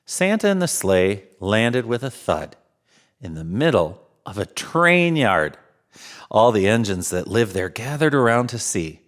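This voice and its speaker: A man narrating a children's storybook theatrically, with drawn-out emphasis and chuckles. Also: on the low side, sing-song. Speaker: storyteller in Santa persona.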